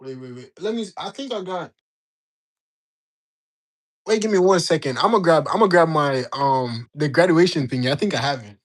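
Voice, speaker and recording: Animated young man telling a story. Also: fairly high pitch, moderate pace, long pauses. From Jamaica. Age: young adult. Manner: animated, slangy, bantering with chat. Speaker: livestream host. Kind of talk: casual storytelling to live chat.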